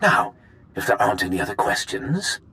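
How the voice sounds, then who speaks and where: An actor playing an urbane, secretive imperial leader, briefing with measured, teasing formality in a video game. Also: male voice, lowish voice, sing-song, gravelly, pushed and bright, exaggerated voice. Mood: composed briefing.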